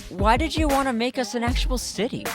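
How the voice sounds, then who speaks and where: A woman voicing a candid, curious character in an animated comedy. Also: fairly high pitch, a little fast, over music, close to an everyday voice.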